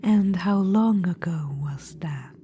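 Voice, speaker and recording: A woman voicing a cold, scheming undead witch-queen in a whispered ASMR roleplay, with a dry, mocking manner. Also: slow pace. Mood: sly, probing. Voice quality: whispered, soft and low. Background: music.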